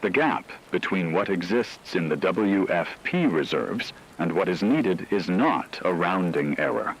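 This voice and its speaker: A man reading a scripted emergency radio broadcast in a grave, measured, formal manner. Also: deep voice, expressive, gravelly, slight background noise, poor audio.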